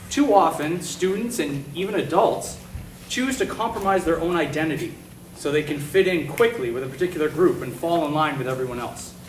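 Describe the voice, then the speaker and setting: Male teacher, fairly high voice, earnest prepared speech; distant mic in an echoey hall. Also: slight background noise. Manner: earnest, measured, with filler ums. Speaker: teacher presenting an award. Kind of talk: prepared award speech at a school assembly. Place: school assembly hall.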